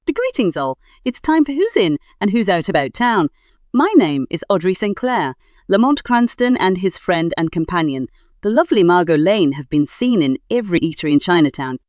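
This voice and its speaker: A woman's voice: lowish voice, sing-song, normal speed, darkish tone, no room sound, clean studio sound. A woman playing a chatty 1940s radio society gossip columnist with a theatrical, gossipy delivery. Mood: gossipy, breezy.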